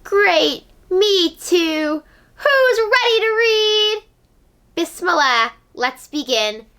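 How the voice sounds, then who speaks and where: A woman's voice: extremely high pitch, drawn-out words. A woman hosting a children's read-aloud, narrating a storybook expressively and speaking directly to young listeners. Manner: animated, addressing young children.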